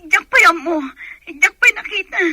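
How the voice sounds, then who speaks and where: Radio drama: worried Filipino woman, extremely high-pitched, sharp tone. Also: moderate pace, a little hiss or hum, full character voice. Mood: urgent. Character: anxious Filipino woman fretting over wounded relative.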